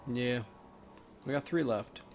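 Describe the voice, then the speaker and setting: A man's voice: medium pitch, slow pace, a little hiss or hum. A man hosting a let's play, giving casual, laid-back gaming commentary as he thinks aloud.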